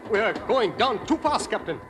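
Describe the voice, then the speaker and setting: A man's voice: high voice, sing-song, normal speed, music in the background. A man playing an anxious, dutiful submarine officer who pleads in formal, alarmed English in 1940s radio drama style. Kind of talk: 1940s old-time radio drama acting. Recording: noisy vintage 1940s radio recording.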